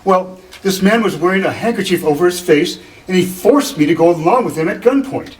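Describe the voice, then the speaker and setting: An actor playing an earnest, shaken artist in a radio drama, with a halting delivery. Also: male voice, high voice, expressive. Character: earnest, shaken male artist recounting an ordeal. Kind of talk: 1940s radio drama reading. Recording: voice distant from the mic.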